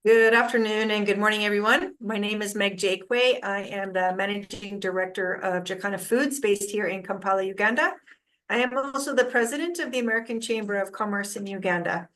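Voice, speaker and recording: A middle-aged woman introducing herself and giving business advice on a virtual panel, explaining in a measured way. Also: medium pitch, fairly bright tone. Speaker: panelist, food company managing director. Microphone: video call.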